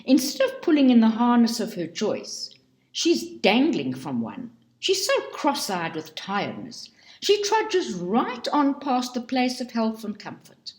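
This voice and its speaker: A woman reading a book aloud in a slow, deliberate and expressive way. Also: a little high. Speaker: reader. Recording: roomy echo.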